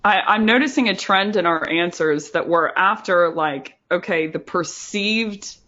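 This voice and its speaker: Chatty woman co-host, bright sharp voice, lots of "like", slightly muffled. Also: on the low side, a little slow. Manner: chatty, lots of fillers like "like" and "you know". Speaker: podcast co-host. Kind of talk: casual podcast chat.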